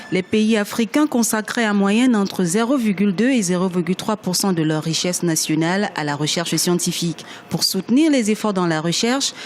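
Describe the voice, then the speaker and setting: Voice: mid-range voice, a little hiss or hum. Formal news report voiceover. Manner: steady, formal. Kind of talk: scripted news narration.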